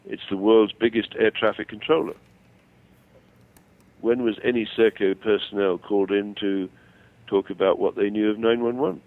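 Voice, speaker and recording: An older man explaining his views over the phone, self-assured but halting and digressive. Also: on the low side, steady tone, dry recording. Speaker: phone-in guest. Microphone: telephone. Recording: tinny narrow-band phone call.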